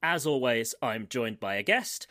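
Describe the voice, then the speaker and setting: A man's voice: medium pitch, expressive, a little slow, sharp tone. A man hosting a podcast in a casual, friendly way, with lots of fillers. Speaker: podcast host. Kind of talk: casual chat.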